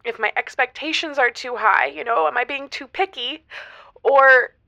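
An actress playing an earnest, picky single woman in her thirties, talking haltingly and emotionally as a caller in an audio drama. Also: high voice, gravelly, pushed and bright, no echo, heavily acted. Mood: frustrated, pleading. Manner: halting, emotional, giggly hesitations. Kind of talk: semi-improvised audio comedy drama.

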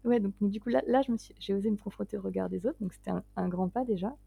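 Gravelly, husky woman in her twenties. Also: medium pitch, fast, warm tone, dry recording. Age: 20s. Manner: hesitant, self-deprecating, laughs often. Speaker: guest writer. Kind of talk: casual interview conversation.

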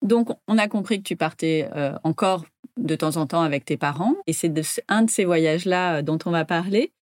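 A woman's voice: on the low side, normal speed, darkish tone, dry recording. Female host, easygoing questioner, dry close recording. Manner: friendly, short prompting questions. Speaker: podcast host. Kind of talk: interviewing a guest.

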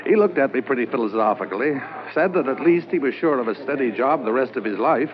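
An actor playing an undercover Mountie posing as a wealthy crook, talking in a nervous, hesitant way in 1950s radio drama style. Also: male voice, medium pitch, lively intonation, fast talker, crowd noise, close to an everyday voice. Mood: narrating. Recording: dull lo-fi 1950s radio transcription with loud hiss.

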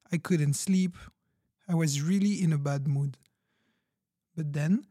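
Guy acting as an analytical efficiency-mad lab man in a radio drama, soft mellow voice, very dry sound. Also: fairly high pitch, dry recording, natural voice. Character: coolly logical lab worker obsessed with efficiency. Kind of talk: fiction podcast roleplay as interview.

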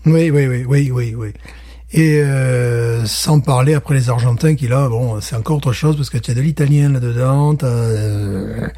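Animated French man, mellow tone. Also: mid-range voice, measured pace. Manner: animated, many restarts, acts out little scenes. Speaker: podcast guest, teacher. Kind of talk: casual chat.

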